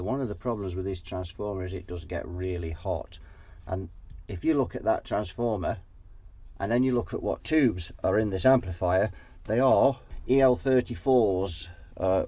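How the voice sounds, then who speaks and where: A man's voice: lowish voice, moderate pace, warm tone, a little hiss or hum. A man with a head cold, sounding nasal and sniffly, talking casually through an amp repair at his workbench and often trailing off mid-thought. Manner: thinking aloud, trailing off and restarting. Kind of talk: casual workshop repair walkthrough.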